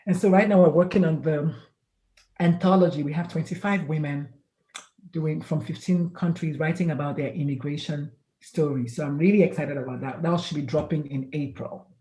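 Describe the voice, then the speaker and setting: A woman in her fifties, an author and pediatrician, telling stories in a casual interview and acting out the dialogue with lively swings. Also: deep voice, normal speed, echoey room, poor audio. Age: 50s. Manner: animated storyteller, acts out quoted dialogue, laughs often.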